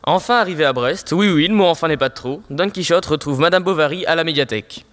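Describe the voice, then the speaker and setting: A man's voice: on the high side, fast talker, fairly bright tone, soft background music, natural voice. A teenage boy playing a wry, mock-solemn narrator of a comic audio story.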